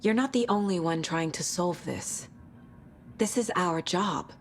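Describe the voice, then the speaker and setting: An actress playing a level-headed, businesslike federal investigator in a video game. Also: female voice, lowish voice, unhurried, a little hiss or hum. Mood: firm, businesslike. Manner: businesslike, firm. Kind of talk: cinematic video game acting.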